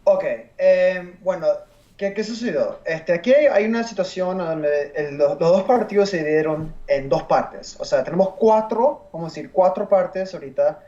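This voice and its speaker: Interview guest, man in his twenties, from the US, slightly high pitch, hesitant, casual, muffled sound. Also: moderate pace, mellow. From United States. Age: 20s. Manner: hesitant, searching for words in his second language. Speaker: interview guest, university student. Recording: dull, roomy sound.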